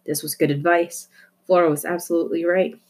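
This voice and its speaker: A woman reading a children's novel aloud as an expressive storyteller. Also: fairly low pitch, fairly quick. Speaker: storybook reader. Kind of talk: expressive children's book narration.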